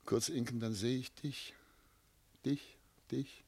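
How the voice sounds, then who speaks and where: A man's voice: mid-range voice, steady tone, takes it slowly, darkish tone, breathy edge, no room sound. An actor playing a tormented, self-doubting man of seventy in love, speaking solemn literary German lines in a classic radio play. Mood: rapturous, agitated. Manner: solemn, literary, declamatory phrasing.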